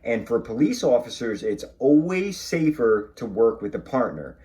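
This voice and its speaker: A man, a police officer, talking and reading to children in an expressive way, addressing them directly. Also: mid-range voice, moderate pace, husky. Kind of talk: children's read-aloud and talk to pupils.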